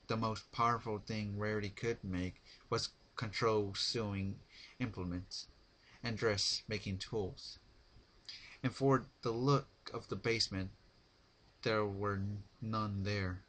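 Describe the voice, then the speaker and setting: A man narrating horror fan fiction as a dramatic reading, halting and stumbling over words now and then. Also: lowish voice, steady tone, a bit bright.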